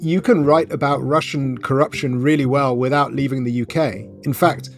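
A middle-aged man from Wales, a journalist and author, telling his story in a relaxed interview with wry asides and fillers. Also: mid-range voice, normal speed, gravelly, soft background music. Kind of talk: conversational interview.

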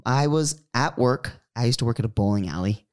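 A man's voice: medium pitch, expressive, brisk, clear, resonant tone. A young man, a podcast co-host, telling a personal story in a casual, rambling way with lots of fillers and restarts. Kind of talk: casual chat.

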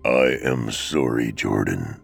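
Death: very deep, echoey, slow and measured, empathetic reaper acted by a male narrator, soft music behind. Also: gravelly, husky, exaggerated voice. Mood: solemn.

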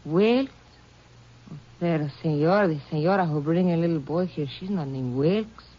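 A woman's voice: low-pitched, normal speed. An actress playing an obliging, talkative Mexican woman shopkeeper in a 1950s radio western, with a Mexican accent and folksy broken English. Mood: helpful. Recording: muffled 1950s radio recording.

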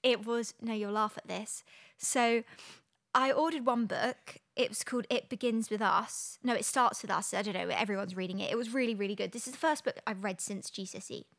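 A young woman from England chats casually as a podcast host. She tells stories in a lively, easygoing way and laughs easily. Her voice sits slightly high. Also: fairly quick. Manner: chatty storyteller, laughs easily. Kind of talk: casual chat.

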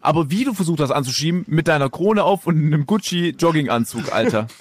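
A hoarse man who is ill, joking and rambling loudly as a game show contestant. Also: a little high, expressive, fast talker, slight background noise. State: hoarse from being sick. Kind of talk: casual game show banter.

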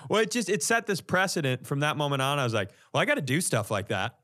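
A man's voice: on the high side, big pitch swings, quick pace, pushed and bright. An American man, a comedian and podcast co-host, telling stories casually and profanely, acting out reactions as he goes.

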